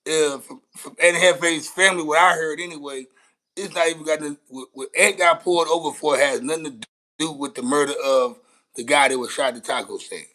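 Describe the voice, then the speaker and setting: An American man with a slightly high voice talks in a casual livestream style. He is blunt and slangy, circling back over his own phrases as he makes his point. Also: fairly quick, pushed and bright, poor audio. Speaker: content creator reacting to news clips. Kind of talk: casual livestream commentary on street news.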